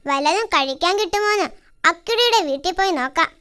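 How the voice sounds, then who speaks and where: A performer voicing a small, cheeky cartoon mouse with a childlike, squeaky voice, crafty and friendly, for children. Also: animated, fast, bright, forceful tone, exaggerated voice. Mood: friendly, scheming. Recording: music behind the voice.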